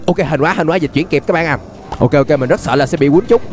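A young man with a southern Vietnamese accent giving excited, exclamatory gameplay commentary, often repeating phrases as he reacts. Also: on the high side, fast. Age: young adult. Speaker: gaming YouTuber, host. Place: indoors at a gaming setup. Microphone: desk microphone close to the face.

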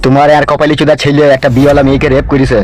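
A man's voice: fairly high pitch, little pitch movement, very fast, a little mellow, a little hiss or hum, natural voice. A man voicing a crude, self-important, teasing village official in a comic cartoon. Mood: officious, menacing. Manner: teasing, insinuating, vulgar.

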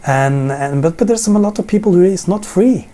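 Middle-aged norwegian guy teaching bible stuff in english, mellow voice, keeps restarting words, talking to camera on his couch. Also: high-pitched, expressive. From Norway. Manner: halting, repeats words while searching for English. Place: living room, seated on a sofa. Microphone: camera in front, seated framing.

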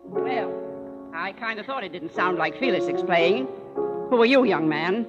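An actress playing a shrewd, kindly older aunt who talks briskly and teases dryly, in a 1930s radio play. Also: female voice, medium pitch, expressive, close to an everyday voice. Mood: wry. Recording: dull old radio broadcast with loud music behind.

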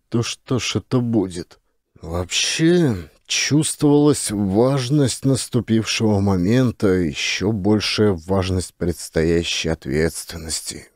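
A man's voice: fairly low pitch, takes it slowly, darkish tone, no echo, studio quality. A man narrating a humorous literary story as a scripted audiobook reading.

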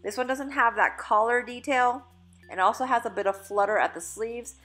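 A woman's voice: on the high side, moderate pace, fairly bright tone, soft background music. A woman in her thirties chatting through a clothing try-on haul in a store dressing room, upbeat and casual with fillers and self-corrections. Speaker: fashion vlogger. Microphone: phone camera held in mirror.

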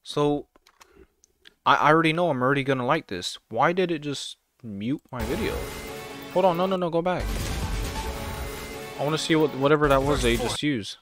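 A young man reacts casually in slangy bursts, his pitch jumping around with lively swings. He speaks close to the mic in a home room, with music playing behind him. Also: normal speed, long pauses. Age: young adult. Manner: informal, slangy, exclamatory. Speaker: reaction video host. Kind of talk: casual excited reaction commentary. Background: game trailer music.

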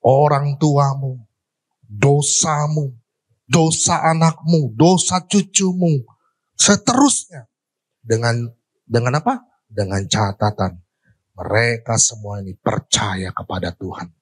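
A middle-aged male pastor preaching a sermon on a church stage into a handheld microphone, asking the congregation questions and swinging between emphatic high lines and slow, low ones. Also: slightly rough.

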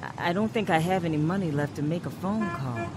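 A woman's voice: on the low side, lively intonation, brisk, noisy background, lightly acted. An actress playing a troubled adult daughter who tells her own story in a radio drama. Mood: confused, hesitant. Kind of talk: radio drama narration and acting.

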